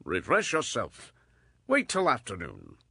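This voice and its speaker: An actor playing a jovial older father-in-law coaxing his guest, with a gravelly voice, in a dramatized scripture reading. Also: mid-range voice, huge pitch swings, a little slow, sharp tone, no room sound, studio quality, exaggerated voice. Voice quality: gravelly, raspy. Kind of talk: dramatized scripture audio acting.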